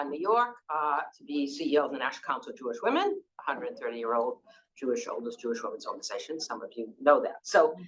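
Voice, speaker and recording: A woman's voice: low-pitched, fairly bright tone, rough recording. A woman in her sixties with a Boston accent, moderating a conference panel in a loose, conversational way with frequent fillers and restarts. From Boston, United States.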